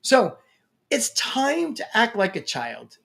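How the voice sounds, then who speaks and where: Lively New York man giving a pep talk. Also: high-pitched, slow, creaky. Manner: energetic, emphatic, playful. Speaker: LinkedIn coach. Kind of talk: motivational talk to camera.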